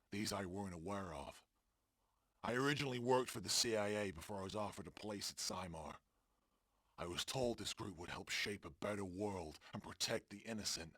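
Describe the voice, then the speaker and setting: A man playing a sombre former mercenary, delivering a measured, solemn confession in an audio drama. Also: fairly low pitch, pushed and bright, no echo, clean studio sound. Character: sombre male ex-mercenary confessing on a recorded journal. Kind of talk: scripted audio drama monologue.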